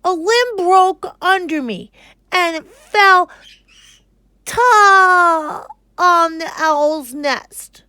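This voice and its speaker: Shrill, pleading monkey. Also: female voice, drawn-out words, bright, forceful tone, dry recording, full character voice. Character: nervous monkey making excuses, high and frantic.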